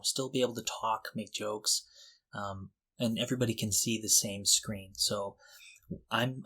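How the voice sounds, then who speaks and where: Low-pitched man chatting on a podcast. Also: bright, forceful tone. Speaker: podcast co-host. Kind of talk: casual podcast chat.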